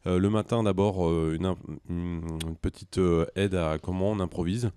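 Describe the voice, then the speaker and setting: Male interview guest, deep warm tone, hesitant conversational delivery of a school director. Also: steady tone, smooth. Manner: hesitant, halting explanations.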